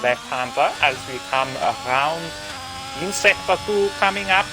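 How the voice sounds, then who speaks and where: A man's voice: fairly high pitch, lively intonation, measured pace, noisy background. A man co-commentating on an online racing broadcast, joking, laughing often and filling gaps with uh. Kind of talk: sim racing commentary, casual banter.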